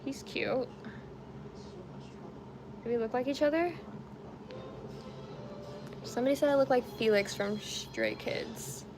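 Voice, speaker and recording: Chatty woman with a gravelly voice. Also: fairly high pitch, takes it slowly, pauses often, music in the background. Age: around 30. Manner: chatty, rambling, laughs easily. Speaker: crochet livestream host. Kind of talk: casual chat while crocheting, reading comments.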